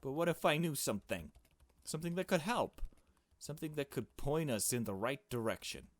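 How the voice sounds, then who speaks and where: A man's voice: medium pitch, expressive, a little fast, dry recording, pitched above the performer's own voice, natural voice. A man voicing a curious, earnest small girl who narrates and asks questions in a visual novel game. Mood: curious, insistent. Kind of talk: visual novel dialogue read aloud.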